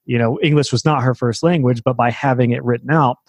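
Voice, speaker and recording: A man's voice: medium pitch, fairly even intonation, quick pace, no room sound. A man who teaches web design courses, talking casually in a podcast conversation and explaining at length with fillers and restarts.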